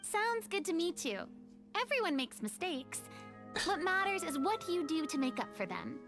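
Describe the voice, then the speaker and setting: Calm young game hunter, high lively voice, faint music. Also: female voice, moderate pace.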